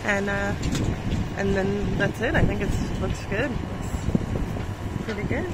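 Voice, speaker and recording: A woman's voice: mid-range voice, slow, very noisy. A young woman hosting a sailing DIY vlog, chatty and upbeat, often laughing. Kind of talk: casual DIY vlog narration. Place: inside a sailboat cabin. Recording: music playing behind her voice.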